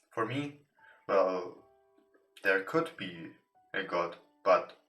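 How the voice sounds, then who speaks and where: A man who is not a native English speaker, casually commentating on a game and reading its text aloud in hesitant, halting English. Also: fairly low pitch. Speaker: let's play host. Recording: roomy, distant mic with music behind. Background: soft guitar game music.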